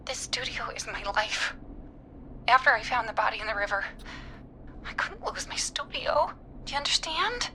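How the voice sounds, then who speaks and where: An actress playing a perky, flirty, evasive jazz studio owner in a video game, with a theatrical, gushing manner. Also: female voice, on the high side, sing-song, normal speed, long pauses, sharp tone, slight background noise, full character voice, poor audio. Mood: nervous, guarded.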